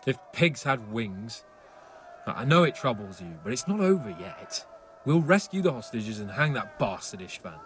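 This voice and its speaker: Sardonic medieval nobleman played by a man, very animated. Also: mid-range voice, normal speed, faint music behind, close to an everyday voice. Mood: bitter, resolute. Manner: sardonic, exasperated, swearing. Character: grumbling, sarcastic nobleman companion on a medieval raid. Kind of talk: dramatic video game cutscene acting.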